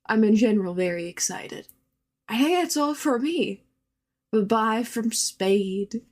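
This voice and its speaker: A woman's voice: a little high, expressive, measured pace, darkish tone. A woman leaving a casual, rambling voice message as a listener, hesitant but enthusiastic.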